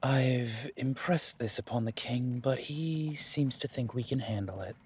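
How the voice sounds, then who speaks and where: A man playing a weary, guarded werewolf duke in a fantasy audio drama, speaking in a measured, dry way with a husky voice. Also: medium pitch, slight background noise, lightly acted. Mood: grave.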